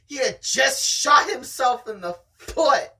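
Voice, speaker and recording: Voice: high voice, expressive, slow pace, sharp tone, husky, far from the mic. A host reading a novel aloud in an amateur, casual storytime style, chatting along the way.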